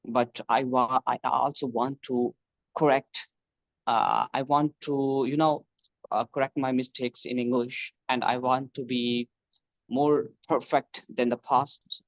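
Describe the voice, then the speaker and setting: A young man from Pakistan speaks in careful, halting English, often stopping to restart a phrase. His voice is mid-pitched and sounds dry and close, with little room around it. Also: steady tone, dry recording, low-quality recording. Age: young adult. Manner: hesitant, many restarts and fillers. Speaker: computer science student. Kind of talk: casual practice conversation in English.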